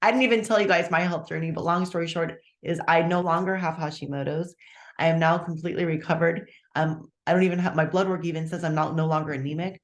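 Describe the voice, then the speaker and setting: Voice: medium pitch, fairly even intonation, fast. A speaker in their fifties runs a live online sales talk at an easy, conversational pace. They lay out each price in turn and keep checking in with "okay?" and "all right?". The tone stays upbeat and enthusiastic when they talk about their own results. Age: 50s. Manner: chatty, walks through prices step by step, checks in with "okay?". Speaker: webinar presenter selling a meal plan. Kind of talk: casual live sales pitch.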